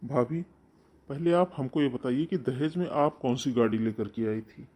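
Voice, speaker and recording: A man voicing an earnest, loyal young groom who speaks softly and reassuringly in a husky voice. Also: mid-range voice, normal speed, a little hiss or hum, natural voice. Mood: defiant. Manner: soft, reassuring.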